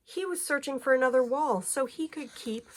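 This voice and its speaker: A woman reading a children's picture book aloud in an expressive storytelling manner, phrasing clearly for young listeners. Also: high-pitched, normal speed.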